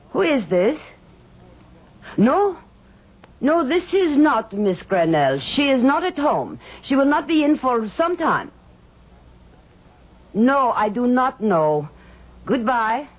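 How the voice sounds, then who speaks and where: A woman's voice: a little high, big pitch swings, slow pace, pauses often, breathy edge, full character voice. An actress playing a cornered, proud and wary woman, speaking formally and precisely in a radio drama. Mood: guarded. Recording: dull lo-fi radio recording.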